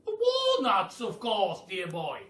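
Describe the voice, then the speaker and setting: Guy doing a booming posh-mannered duke, pompous and generous, super forceful, echoey room. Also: wildly swooping pitch, slow pace, heavily acted. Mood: hearty, generous. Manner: booming, grand and exclamatory. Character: loud, pompous, generous old-fashioned aristocratic Duke. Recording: roomy echo, far from mic.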